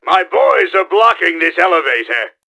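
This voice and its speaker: A man's voice: high-pitched, wildly swooping pitch, normal speed, noisy background, full character voice. A man playing a pompous, scheming mad-genius villain in a video game, with a theatrical, blustering, commanding manner. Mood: urgent, commanding. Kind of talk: video game character voice lines.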